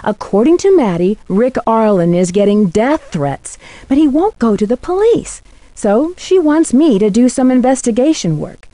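Game role: teasing, curious young American female sleuth. Fairly high pitch, dry studio sound. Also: big pitch swings, normal speed, dark tone, dry recording. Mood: chatty, intrigued. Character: bright, inquisitive, teasing young woman amateur detective. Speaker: video game voice actor. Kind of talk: scripted game dialogue and letter narration.

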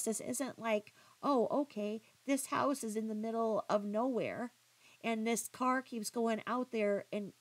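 Woman, higher-pitched, critical reaction commentary, halting, pointed, questioning. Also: poor audio. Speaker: commentary vlogger.

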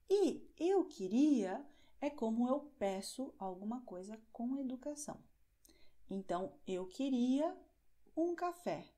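A young woman talks into her webcam in a small room at home and teaches simple travel phrases. She goes slowly and spells out each example, and her mellow voice moves through a wide pitch range. She keeps checking in with a quick "Ok?". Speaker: language teacher. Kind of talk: slow, clear teaching with examples.

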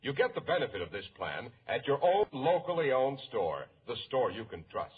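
An American man reads a vintage radio commercial with polished salesmanship. His mid-pitched voice moves up and down a lot. The sound is thin and muffled, like old radio. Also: big pitch swings, moderate pace. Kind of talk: 1940s radio advertising pitch. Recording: muffled 1940s radio recording.

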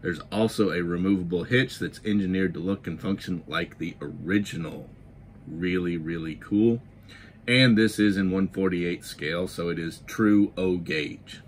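Enthusiastic middle-aged man, lower voice. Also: moderate pace, slight background noise. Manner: enthusiastic, emphatic repetitions. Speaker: toy model reviewer and channel host. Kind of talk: scripted history narration then casual product review.

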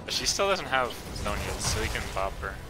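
Lowish-voiced young man in game chat. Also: sing-song, a little fast. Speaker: online video game player. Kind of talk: casual in-game team chat. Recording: loud game music under the voice.

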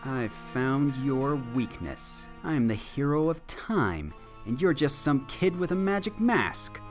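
A man's voice: medium pitch, very animated, slow pace, faint music behind. A man voicing a cocky, dim-witted, lazy boy hero with a flippant, self-important manner in a parody game dub. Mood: nonchalant.

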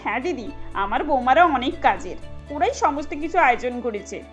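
Woman voicing a stern high-pitched mother-in-law. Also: animated, normal speed, muffled recording, soft background music. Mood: proud. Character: proud, stern middle-aged mother-in-law.

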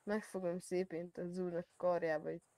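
A man's voice: sits high, flat delivery, dark tone, dry recording. A man improvising in a roleplay game as a paramedic tending a patient. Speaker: roleplay player acting a paramedic.

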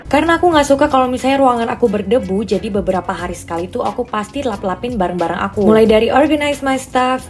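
A woman's voice: medium pitch, a little mellow. A young adult woman, chatting casually like a vlogger and mixing in bits of English. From Singkawang, Indonesia. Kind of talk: casual vlog chat mixing Indonesian and some English. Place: apartment bedroom, indoors. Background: background music.

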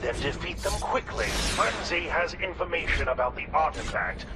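A man's voice: a little high, very animated, normal speed, heavy background noise. A man playing a scheming, contemptuous robot villain commander in a video game, giving orders imperiously. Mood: scheming, commanding. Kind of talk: video game cutscene acting.

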